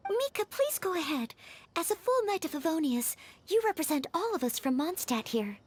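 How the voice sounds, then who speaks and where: Earnest young female knight, game character, high pitch, polite, deferential. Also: very animated, normal speed. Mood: polite, modest. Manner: courteous, deferential. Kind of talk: video game character acting.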